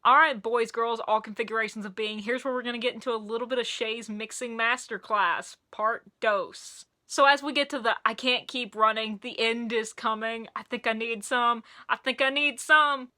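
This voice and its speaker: A woman with a high, sharp and bright voice hosts a casual song review, talking straight to the camera. She is losing her voice, and she gushes excitably, often tripping over and restarting her sentences. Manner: gushing and excitable, with frequent restarts. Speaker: music reviewer and video host.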